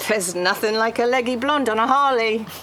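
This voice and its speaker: A woman's voice: a little high, normal speed, pushed and bright, a little hiss or hum. A woman acting a blunt, self-deprecating, flirty divorced woman in a script table read, with a sardonic manner. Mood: flirty, teasing.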